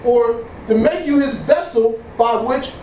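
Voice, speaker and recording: A man preaching a sermon with a booming voice, leading call-and-response and exclaiming praise to God. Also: unhurried, warm tone. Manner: exclaims praise phrases, leads the congregation in repetition. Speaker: pastor. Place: church hall. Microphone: mic switched off, picked up from a distance. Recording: echoey room from far off, faint noise.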